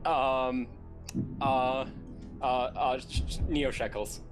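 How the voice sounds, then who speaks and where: A man's voice: mid-range voice, level tone, slow pace, music in the background. A man bantering casually as a contestant in a podcast quiz game.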